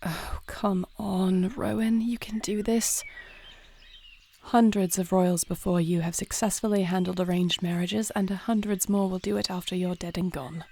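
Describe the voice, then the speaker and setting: Woman playing a young warrior princess, slightly low voice, formal, guarded, dutiful, stately measured diction, fantasy audio drama. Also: steady tone, mellow. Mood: anxious self-talk. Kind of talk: scripted fantasy audio roleplay acting.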